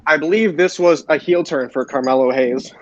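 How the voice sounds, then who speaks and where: Higher-voiced man recapping wrestling, dull audio. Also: normal speed. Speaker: podcast host. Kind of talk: recapping wrestling shows for co-hosts.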